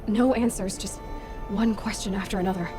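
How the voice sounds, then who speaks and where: A woman's voice: medium pitch, fairly even intonation, normal speed, over music, natural voice. An actress playing a sardonic, defiant young woman adventurer in a video game, speaking in a wry, blunt, impatient way. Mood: searching, frustrated.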